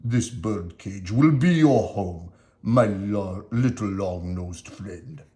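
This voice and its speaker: A man voicing a loud, menacing male puppet master in a storybook, speaking slowly and forcefully. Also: lively intonation. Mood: gloating. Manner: booming, slow and menacing.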